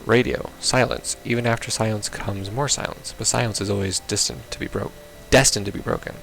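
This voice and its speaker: A man's voice: fairly low pitch, fairly even intonation, a little fast, creaky, fairly bright tone, slight background noise, poor audio. A man playing a visual novel, reading its text aloud casually with baffled, blunt asides. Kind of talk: casual let's play, reading game text aloud with confused reactions.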